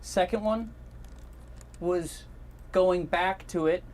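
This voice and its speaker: A man's voice: high-pitched, slow pace, a little mellow. A man co-hosting a video, speaking off the cuff in casual back-and-forth banter. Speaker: video co-host. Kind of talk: casual unscripted banter with a co-host.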